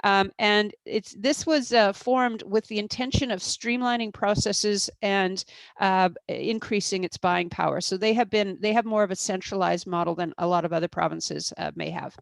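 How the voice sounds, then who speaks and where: A woman speaking in a webinar presentation, explaining step by step with plenty of "uh" and "um" and self-corrections. Her pitch sits in the middle range. The sound is dry and close, with no room around it. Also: fairly even intonation, normal speed, gravelly, no echo. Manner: explanatory, many fillers and restarts. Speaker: procurement expert presenter.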